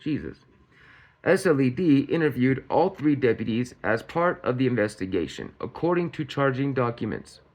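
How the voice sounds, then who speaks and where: A man's voice: medium pitch, lively intonation, moderate pace, darkish tone. A man hosting a commentary channel, reading out news in a casual way and often tripping over words and correcting himself.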